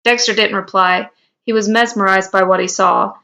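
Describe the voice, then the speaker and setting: Bright-voiced woman reading fan fiction. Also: medium pitch, fairly even intonation, normal speed, creaky. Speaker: podcast co-host.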